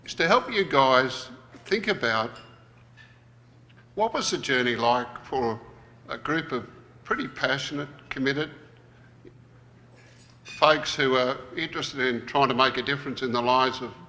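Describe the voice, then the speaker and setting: A man's voice: medium pitch, a little slow, long pauses, bright, forceful tone. A man giving a reflective plenary talk at a conference, thinking aloud as he goes. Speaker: conference presenter. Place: conference hall. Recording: reverberant conference hall.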